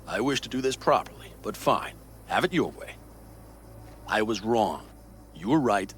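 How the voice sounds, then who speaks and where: An actor playing a stern, solemn nomad clan leader who speaks in a measured, formal way in a video game. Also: male voice, on the low side, expressive, a little hiss or hum. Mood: firm, resolute. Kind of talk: cinematic video game acting.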